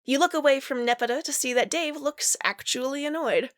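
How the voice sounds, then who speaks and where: A woman with a fairly high voice narrates a story as a podfic reader. The recording is dry and close, with no room sound around her words. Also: fairly quick, dry recording. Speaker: podfic voice actor. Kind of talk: reading fan fiction aloud with acted dialogue.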